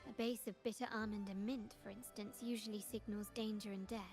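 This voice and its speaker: An actress voicing a composed, purposeful young woman guide in a video game, explaining things in a measured, knowing manner. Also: mid-range voice, little pitch movement, darkish tone, close to an everyday voice. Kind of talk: scripted video game voice acting. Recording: game audio with background music.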